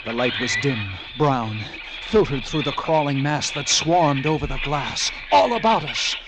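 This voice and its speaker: An actor playing a lighthouse keeper who narrates his ordeal in hushed, dread-filled tones, in 1940s radio acting style. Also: medium pitch, a little slow, noisy background, natural voice. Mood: tense. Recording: old radio recording with music.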